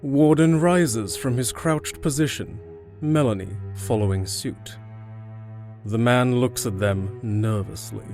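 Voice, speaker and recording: Slow-paced male narrator. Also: medium pitch, darkish tone, soft background music. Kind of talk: scripted audio drama narration.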